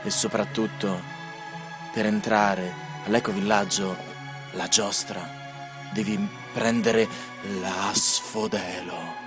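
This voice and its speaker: Music behind, man, slow delivery, mock advert announcer, tongue-in-cheek. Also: lowish voice, lively intonation.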